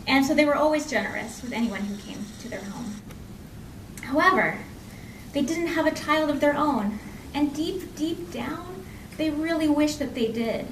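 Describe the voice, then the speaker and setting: A woman telling a folk tale live in an expressive, conversational way, lingering on repeated phrases. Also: sits high, normal speed. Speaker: storyteller. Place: indoor library program before an audience. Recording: echoey room from far off, faint noise.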